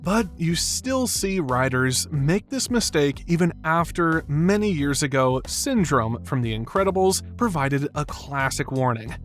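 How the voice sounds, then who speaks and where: A man's voice: on the high side, lively intonation, measured pace, few pauses, soft background music. A man delivering scripted narration as the narrator of a video essay.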